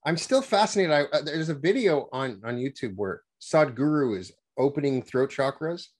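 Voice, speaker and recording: A middle-aged man hosting an interview over a video call from his home office, wearing a headset and talking in a halting way with many restarts. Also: on the high side, lively intonation, darkish tone. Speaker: podcast host. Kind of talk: conversational interview.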